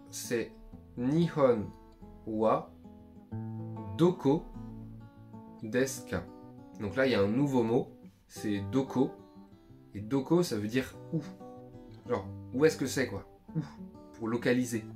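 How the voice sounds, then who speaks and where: A man giving a casual, slangy beginner language lesson to his viewers, chatting loosely and repeating himself as he explains. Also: medium pitch. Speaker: YouTube Japanese tutor.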